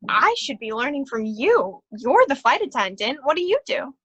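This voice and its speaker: A polite, anxious woman played by an actress in a staged play reading, earnest and full of hesitations. Her voice is high and bright, in a dry recording. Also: sing-song, normal speed, husky, no echo, highs cut off, exaggerated voice. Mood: eager. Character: polite, apologetic, nervous woman accountant newcomer.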